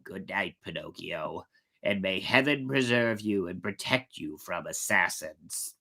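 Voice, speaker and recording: Woman as a low, solemn ghostly cricket. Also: lively intonation, unhurried, heavily acted. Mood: resigned, solemn. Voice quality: low, hushed. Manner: grave, admonishing. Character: ghost of a tiny cricket, solemn fatherly adviser.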